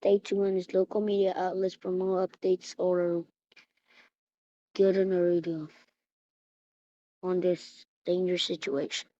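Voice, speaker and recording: A dull, lo-fi recording of a woman giving an improvised emergency alert. She speaks very slowly in a slightly low voice. Her delivery is halting, with phrases repeated and begun again. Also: flat delivery, mellow, no echo. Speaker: emergency alert announcer in a hobby mock broadcast.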